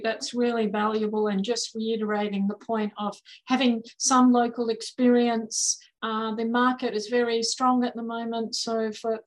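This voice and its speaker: A woman moderating a careers panel webinar, affirming answers and asking measured questions with many fillers on a video call. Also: a little high, rough recording.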